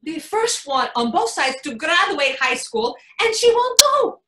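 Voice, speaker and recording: High, very animated exasperated mother played by a woman. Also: unhurried, exaggerated voice. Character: exasperated, overwhelmed mother of a graduating teenager. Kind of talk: theatrical staged reading. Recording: roomy, echoing sound.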